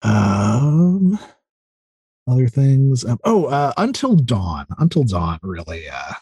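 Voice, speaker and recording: Hesitant, enthusiastic man, husky close-up voice. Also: medium pitch, big pitch swings, slow, dark tone, dry recording. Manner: hesitant, thinking aloud, enthusiastic. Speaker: podcast guest. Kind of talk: casual interview chat about video games.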